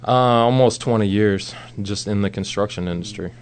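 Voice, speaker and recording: A man's voice: lowish voice, moderate pace. An American man of about forty, speaking casually as a guest in a radio interview. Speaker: guest, missionary. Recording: background noise and hum.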